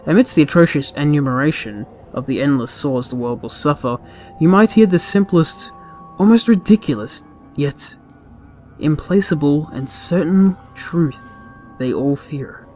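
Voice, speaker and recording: A man reading a scripted horror story aloud in a deliberate, ominous, dramatic manner. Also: on the high side, animated, a little mellow. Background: background music.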